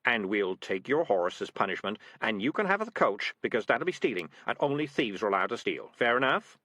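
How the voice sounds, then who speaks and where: A scheming street tomcat, put on by a man reading aloud, wheedling and slick with every excuse. The voice sits a touch higher than the narration and swings lively up and down. It is close and dry, with no room around it. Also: brisk, no echo, full character voice. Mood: commanding. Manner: wheedling, smooth-talking. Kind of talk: audiobook character voice.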